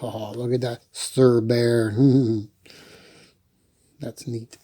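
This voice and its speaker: A man's voice: mid-range voice, level tone, drawn-out words, dark tone. A man hosting storytime, reading a children's book and adding folksy, chatty asides. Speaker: storyteller and host.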